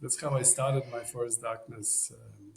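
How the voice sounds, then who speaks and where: A man's voice: mid-range voice, fairly even intonation, unhurried, vocal fry, echoey room. A man from Poland with a Polish accent, a podcast guest telling a story in casual, rambling talk full of "you know" fillers. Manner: rambling, many "you know" fillers, non-native phrasing.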